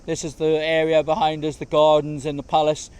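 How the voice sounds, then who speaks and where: A man vlogging as he walks through gardens in the heat, his voice slightly hoarse, talking in a chatty, slangy, easygoing way. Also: a little high, monotone, a little fast, darkish tone. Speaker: travel vlogger. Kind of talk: casual walking vlog narration. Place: outdoors in large city gardens, walking in heat.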